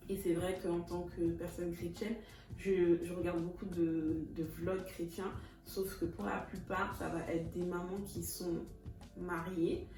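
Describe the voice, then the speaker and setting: A woman's voice: fairly low pitch, little pitch movement, unhurried, warm tone, recorded from a distance. A woman in her thirties from Kinshasa, introducing herself in a casual, chatty vlog, often laughing and slipping in English words. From Congo (Kinshasa). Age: 30s. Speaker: vlogger. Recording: echoey room, voice a bit off-mic, music behind.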